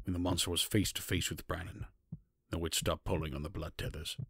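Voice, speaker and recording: A man with a husky, gravelly voice narrating a scripted fantasy story. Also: sits low, fairly even intonation, a little fast, fairly bright tone, no room sound, studio quality.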